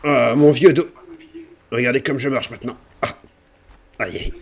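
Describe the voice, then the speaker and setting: A man's voice: mid-range voice, moderate pace, vocal fry. A man playing a whining, scheming old priest with a bad back in a roleplay game, groaning and pleading.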